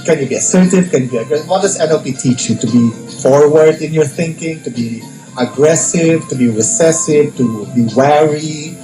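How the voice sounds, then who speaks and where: A man's voice: on the high side, moderate pace. A male radio DJ with a Filipino accent hosting an interview, asking long, searching questions and slipping into Tagalog. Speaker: radio DJ and host. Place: radio studio. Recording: roomy studio with faint music.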